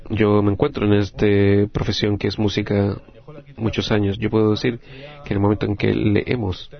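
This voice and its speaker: Latin american guy doing live interpreting, gravelly, stop and start phrases, muffled lo-fi sound. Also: lowish voice, flat delivery. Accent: Latin American Spanish. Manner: halting, phrase-by-phrase delivery. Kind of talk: live spoken interpretation of a group discussion.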